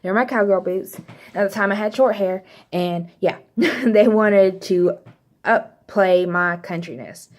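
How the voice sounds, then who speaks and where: A woman's voice: mid-range voice, a little slow. A young woman with a slight Texas accent, casually telling a story to camera in a chatty, rambling way with lots of fillers. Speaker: vlogger.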